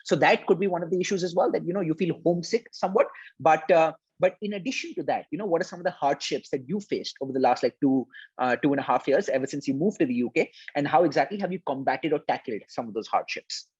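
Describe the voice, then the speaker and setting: A male interview host speaks quickly in a slightly high, husky voice, offering affirmations before moving into long, structured questions. His voice comes through dry and muffled, like a lo-fi remote line. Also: darkish tone, no room sound. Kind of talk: conversational interview hosting.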